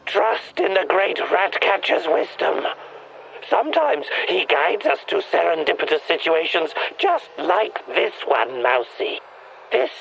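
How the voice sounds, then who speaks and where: An actor playing a crazed, paranoid rat-catching prophet in a video game, grandiose and cryptic, with slightly muffled sound. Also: male voice, a little high, creaky, fairly bright tone, slight background noise, heavily acted. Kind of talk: video game character acting.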